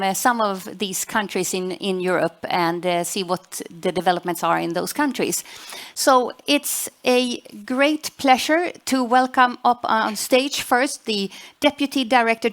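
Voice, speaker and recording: A woman's voice: on the high side, fairly bright tone. A woman moderating a panel discussion on a conference stage, introducing guests and prompting them with short encouraging backchannels.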